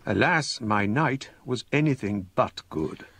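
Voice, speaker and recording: An actor playing a scholarly gentleman narrating his ghost story in measured, grave, literary style. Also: mid-range voice, expressive. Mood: grave, haunted. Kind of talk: dramatic scripted narration.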